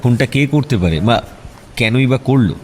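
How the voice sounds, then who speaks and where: Actor playing an astute, composed detective. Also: male voice, mid-range voice, lively intonation, normal speed, darkish tone, natural voice. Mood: probing, analytical. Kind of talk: Bengali radio drama acting.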